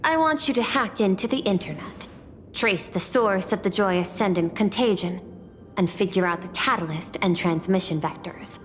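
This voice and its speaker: A woman's voice: on the low side, natural voice. An actress playing a shrewd, controlling businesswoman in a video game, speaking formally with guarded authority. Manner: formal, measured, commanding. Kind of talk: video game story dialogue. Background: music.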